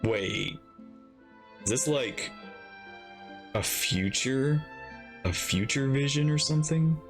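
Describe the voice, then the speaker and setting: A man's voice: medium pitch, expressive, slow, music in the background. A man giving casual reaction commentary as the host of a video.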